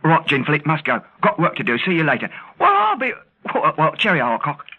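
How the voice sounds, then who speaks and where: A plucky Cockney chap in an old radio adventure, fairly high and very animated. He is chirpy, cheeky and slangy, on a dull, band-limited recording. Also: quick pace, husky. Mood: excited, chirpy. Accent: English Cockney. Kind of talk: vintage radio serial acting.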